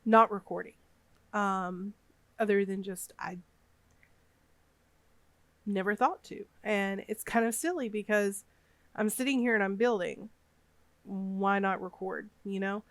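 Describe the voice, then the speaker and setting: Slow, rambling woman with a cold. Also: mid-range voice, steady tone, a bit bright, no room sound. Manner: rambling, hesitant, lots of fillers. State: has a cold, a bit sniffly. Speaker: gaming YouTuber. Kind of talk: casual voiceover over a speedbuild.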